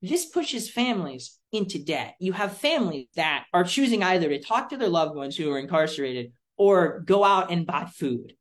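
A young adult American man from Florida giving explanatory interview answers as a student policy advocate, speaking in an articulate, deliberate way with emphatic phrasing. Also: high voice, animated. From Florida, United States.

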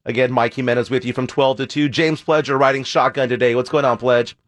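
A man hosting a sports radio show, chatty and upbeat, his voice scratchy and hoarse from an illness. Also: mid-range voice, fairly even intonation, dry recording, studio quality. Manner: upbeat, chatty, quick banter. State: hoarse, ill. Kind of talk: casual sports radio talk. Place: radio studio.

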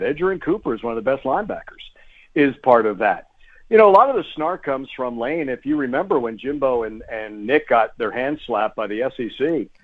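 A man who works as a sports broadcaster, giving conversational analysis as a radio guest over the phone, emphatically repeating phrases. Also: mid-range voice. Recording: tinny, phone-like guest line.

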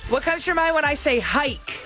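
A woman co-host runs a radio game with playful encouragement. Her pitch moves around a lot as she talks. A clear music bed sits behind her. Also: animated, brisk, bright, forceful tone. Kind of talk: casual morning show banter and game hosting.

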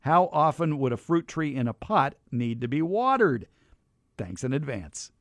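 A man's voice: fairly high pitch, very animated, measured pace, no room sound, studio quality. A man hosting a garden radio show who reads a listener letter and trades wry, teasing banter with his co-host. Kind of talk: casual radio chat, reading a listener letter.

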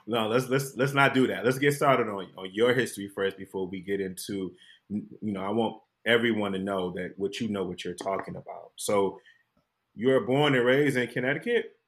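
A man's voice: lowish voice, normal speed, a bit bright. Playful joking man hosting an interview. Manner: playful, joking, self-deprecating questions. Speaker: host. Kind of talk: casual interview.

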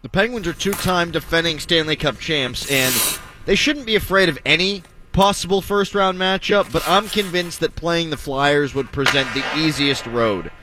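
A man's voice: on the high side, normal speed, noisy background. A man hosting a sports talk radio show, joking and wry in his delivery.

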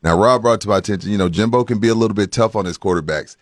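A man's voice: on the low side, rapid-fire, no echo, clean studio sound. A man co-hosting a sports radio show, conversational and opinionated, spelling out his point as he asks a question.

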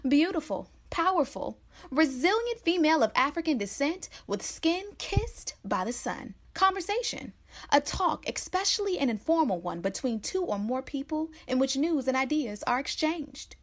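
A woman reading a scripted podcast intro in a proud, measured, declarative way. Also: high voice, sing-song.

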